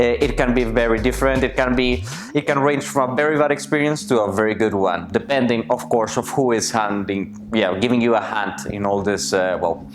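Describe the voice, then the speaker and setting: Thoughtful man, faint music behind. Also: medium pitch. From Colombia. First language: Spanish. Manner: thoughtful, searching for words. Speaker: interviewee. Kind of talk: personal interview answers.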